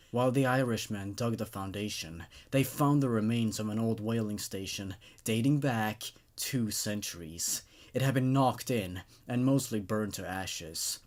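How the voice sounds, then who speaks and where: A man's voice: fairly low pitch, darkish tone. Man narrating stories as host. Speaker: host reading horror stories aloud. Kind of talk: scripted story narration.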